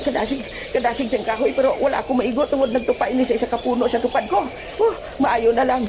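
Comic audio drama role: frantic old man, played by a woman, high voice, exclamatory, very noisy recording. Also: fast, natural voice. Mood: straining, scheming. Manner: exclamatory, talks through each action aloud.

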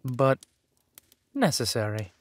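A man playing a possessive, menacing villain husband who is tender to his spouse, in a slow, soothing, theatrical manner for a roleplay audio drama. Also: mid-range voice, sing-song. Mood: gloating, menacing.

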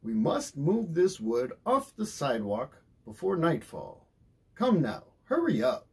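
A man's voice: on the high side, wildly swooping pitch, a little slow, a little mellow, breathy edge, heavily acted. A man voicing a patient but firm father in a storybook, emphatic and coaxing. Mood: urging. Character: patient, firm father calling his son.

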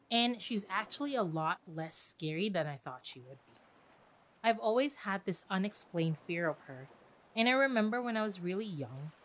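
Woman, podcast host, slightly low pitch, animated intonation, chatty, direct address to listeners. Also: poor audio. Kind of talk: podcast monologue on classic books.

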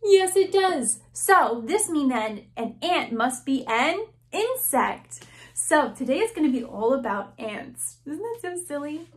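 Animated young woman reading to kids. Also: high voice, a little slow. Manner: animated, gasping, questions to young listeners. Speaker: teacher. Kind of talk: children's read-aloud. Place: small classroom-style room indoors.

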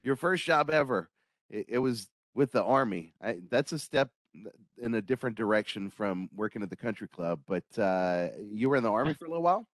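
A man's voice: mid-range voice, expressive, a little fast, no room sound. A middle-aged man hosting a casual interview on a video call, friendly and joking as he asks questions. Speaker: host.

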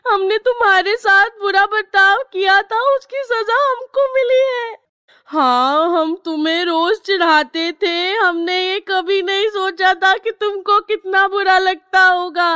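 Little schoolgirl for a cartoon, super high sharp voice, plaintive n earnest, very dry sound. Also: unhurried, no echo, heavily acted. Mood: apologetic. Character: young schoolgirls, teased small girl and repentant bullies. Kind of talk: Hindi cartoon story dubbing.

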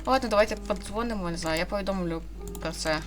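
A woman's voice: mid-range voice, fast. A woman giving casual commentary as she plays a game, muttering to herself in a puzzled way and reading bits of dialogue aloud. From Ukraine. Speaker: gaming video host. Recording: music behind the voice.

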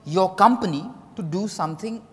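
A man's voice: high-pitched, normal speed, close to an everyday voice. Man playing candid evasive male writer, Indian accent, stage drama reading, reverberant hall. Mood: opinionated. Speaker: actor. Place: large hotel ballroom stage, indoors. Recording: echoey hall stage recording.

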